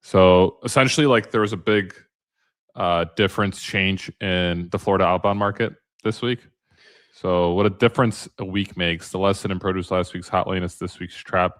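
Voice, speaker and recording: A man's voice: low voice, steady tone, normal speed, no room sound. A man hosting a casual podcast chat, easygoing, reading posts aloud to his co-host. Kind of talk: casual freight market chat.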